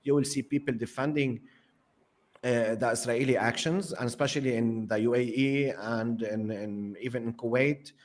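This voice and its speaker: A man whose native language is Arabic speaks as a guest commentator on a talk panel. His delivery is hesitant, full of fillers and false starts, and his pitch is mid-range. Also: fairly even intonation, moderate pace, darkish tone. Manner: hesitant, many fillers and restarts. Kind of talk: casual panel discussion.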